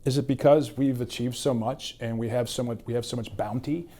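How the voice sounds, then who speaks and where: A man's voice: medium pitch, steady tone, brisk, mellow. A man hosting a podcast interview, asking long, rambling questions that often restart. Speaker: podcast host. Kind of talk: conversational interview.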